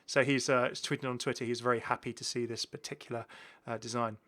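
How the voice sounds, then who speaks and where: A man's voice: medium pitch, flat delivery, fast talker, bright, forceful tone. A man hosting a gaming video in a casual, chatty commentary, rambling and repeating his phrases. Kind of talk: casual commentary on game cosmetics.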